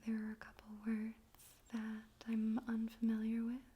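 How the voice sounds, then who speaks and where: A woman speaking in a soft, breathy whisper, gently and carefully, in an ASMR style for poetry reading. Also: on the high side, monotone, moderate pace, lo-fi sound. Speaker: ASMR host and poetry reader. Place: room in a home in daytime.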